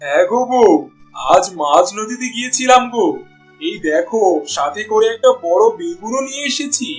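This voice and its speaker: A poor village fisherman acted by a man with a high male voice, a devoted family man. He speaks in a plaintive, exclaiming folksy way, as in a cartoon folk tale, over background music. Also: animated, normal speed. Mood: happy, eager. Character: poor, weary village fisherman, loving father and husband. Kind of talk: cartoon voice acting.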